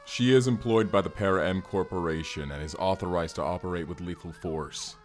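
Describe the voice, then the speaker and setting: A man's voice: sits low, fairly quick, faint music behind, close to an everyday voice. A man playing an earnest wasteland barbarian turned corporate fighter in an audio roleplay, speaking in stilted, literal, short sentences. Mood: stern, formal. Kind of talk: immersive first-person audio roleplay acting.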